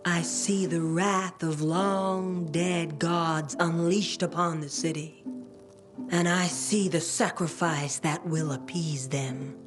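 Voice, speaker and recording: A cryptic seer woman speaking low and unhurried in a hushed, ominous tone. Her voice sits deep for a woman and is backed by clear music, as in a video game cutscene. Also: steady tone.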